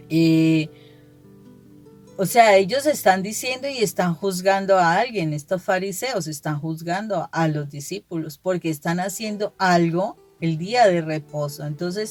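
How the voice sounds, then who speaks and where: A woman giving a conversational religious reflection, explaining earnestly and restating her points as she goes. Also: fairly low pitch. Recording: soft guitar music behind the voice. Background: guitar music.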